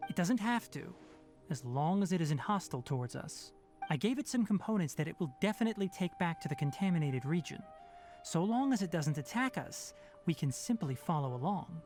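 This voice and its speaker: Guy doing young fox-eared mentor from a video game, matter-of-fact, articulate, pitch moves around a lot. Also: animated, fairly quick, a little mellow, faint music behind, close to an everyday voice. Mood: explaining, calm. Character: young fox-eared forest ranger, calm, pragmatic, scholarly mentor.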